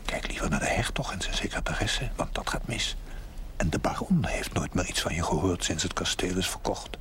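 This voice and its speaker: A deep, whispering, reproachful inner voice. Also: male voice, lively intonation, a bit bright. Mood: reproachful, ominous. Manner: reproachful, deliberate, theatrical. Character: stern whispering inner voice reproaching a village chaplain. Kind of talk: television drama monologue.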